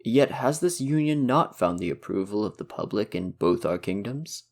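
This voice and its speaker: A man plays a patient young prince in an audio drama. The voice is deep and low, the phrasing courteous and carefully measured, like a practised diplomat. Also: expressive, a little mellow. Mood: diplomatic, reasoning. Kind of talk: audio roleplay acting.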